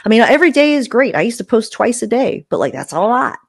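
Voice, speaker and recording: A woman's voice: on the high side, sing-song, fast talker, creaky, slightly rough, no echo. A woman in her thirties hosting a casual podcast, talking frankly and emphatically into a close mic. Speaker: podcast host. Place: small room at home, video call. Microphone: close desktop mic with furry windscreen.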